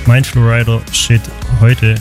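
A man's voice: mid-range voice, monotone, slow pace. A man from Germany hosting a country radio show with personal, scripted-sounding moderation. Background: guitar music bed.

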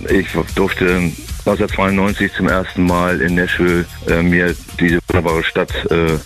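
A middle-aged man from Hamburg, calling in to a radio show, eager and halting with many fillers. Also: low voice, completely flat delivery, a bit bright, faint music behind. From Hamburg, Germany. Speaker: radio listener, caller. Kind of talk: casual phone chat.

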